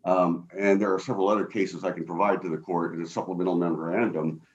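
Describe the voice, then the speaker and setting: A man with a booming voice arguing an appeal as an attorney over a video call from home, halting and full of fillers. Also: flat delivery, dull audio. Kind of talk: appellate oral argument. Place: home office.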